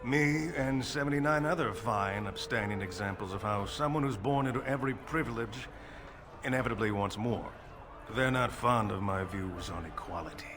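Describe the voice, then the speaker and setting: A man's voice: fairly low pitch, natural voice. A man playing a wry, self-deprecating dwarf nobleman in a video game, with a casual, sardonic delivery. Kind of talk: video game character acting.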